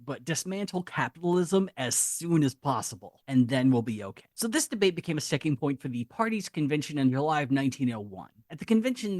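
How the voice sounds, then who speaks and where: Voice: mid-range voice, no room sound, studio quality. A podcast host telling a history story in casual, joking, sardonic chat.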